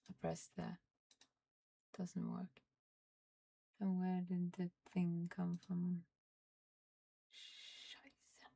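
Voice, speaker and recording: A young Norwegian woman streaming live, chatting casually and muttering and swearing at her software as she struggles with it. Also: on the low side, vocal fry, slightly rough. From Norway. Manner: frustrated, swearing, muttering under her breath. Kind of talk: casual livestream chat while fiddling with software.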